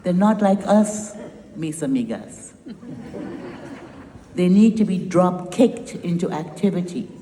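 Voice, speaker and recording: A woman's voice: fairly low pitch, lively intonation, takes it slowly, long pauses, dark tone, a little hiss or hum. A woman from Trinidad with a Trinidadian accent, founder of a nonprofit giving an emphatic, rousing speech with wry humor. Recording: echoey hall with long reverb.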